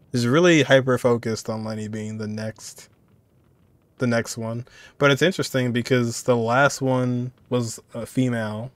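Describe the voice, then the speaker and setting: A man's voice: medium pitch, no echo. A man in his twenties giving casual reaction commentary as the host of a video. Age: 20s.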